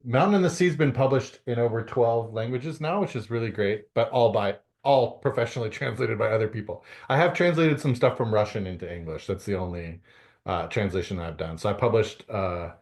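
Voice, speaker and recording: Man, low voice, middle-aged, hesitant, lots of ums and restarts. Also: fairly quick, a little mellow. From Canada. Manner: hesitant, many fillers and restarts. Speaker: guest author. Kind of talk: conversational interview answers.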